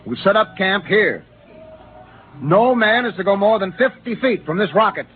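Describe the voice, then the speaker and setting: An actor playing a stern, commanding spaceship captain in a 1950s radio drama, speaking curtly. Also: male voice, high voice, lively intonation, normal speed, soft background music. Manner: curt, authoritative. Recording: tinny old radio broadcast.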